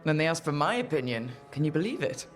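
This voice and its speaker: A woman's voice: low voice, very animated, quick pace, faint music behind. A woman playing a young rogue hero in a video game, casual, direct and wry. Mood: exasperated. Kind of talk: video game character dialogue.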